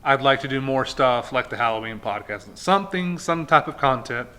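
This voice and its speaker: A man's voice: mid-range voice, expressive, moderate pace, pushed and bright, smooth. A man hosting a community theatre podcast, chatting casually and rambling a bit. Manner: rambling, self-interrupting, chatty. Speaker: podcast host. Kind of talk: casual interview chat.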